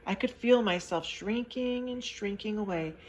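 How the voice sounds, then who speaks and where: A woman's voice: medium pitch, moderate pace, a little hiss or hum, pitched above the performer's own voice, natural voice. A woman voicing a tiny, friendly flying creature who has shrunk, telling its story chattily. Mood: rueful.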